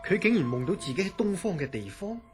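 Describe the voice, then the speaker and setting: An actor with a Hong Kong Cantonese accent playing a curious, easily astonished institute director in a radio drama, questioning with theatrical disbelief. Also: male voice, high-pitched, very animated, fast, music in the background. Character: curious, astonished male institute director hearing eerie story.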